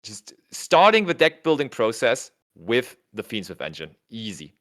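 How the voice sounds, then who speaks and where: A German-accented man co-commentating a tournament stream in a loose, chatty way. His voice swings widely in pitch as he thinks aloud and laughs often, and he sits at a middle pitch for a man. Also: very animated, a little slow, a bit bright. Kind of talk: casual livestream commentary, reading chat aloud.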